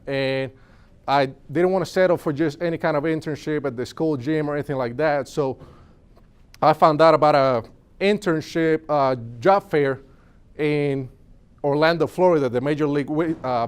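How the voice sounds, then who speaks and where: A man's voice: fairly high pitch, smooth. A young man from Puerto Rico with a Puerto Rican accent, giving an earnest motivational talk to a live audience and telling his story. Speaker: speaker at a business networking event.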